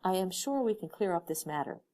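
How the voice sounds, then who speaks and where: Casting: reserved but fierce female assistant for an audiobook woman reader, low pitch, very animated. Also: fairly quick, close to an everyday voice. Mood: indignant, firm. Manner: firm, formal, challenging. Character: protective, reserved female assistant, easily exasperated.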